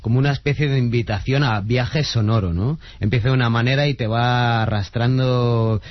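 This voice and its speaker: A man from Spain, a musician, answering radio interview questions in a halting, thoughtful way. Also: medium pitch, steady tone, no echo. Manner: halting, many restarts, thoughtful. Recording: dry, muffled radio sound.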